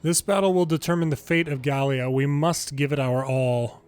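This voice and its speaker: Higher-pitched man reading game dialogue over music. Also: fairly even intonation, normal speed, darkish tone, clear, resonant tone. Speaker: game longplay narrator reading dialogue aloud. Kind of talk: reading game story text aloud, casual commentary asides. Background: video game music.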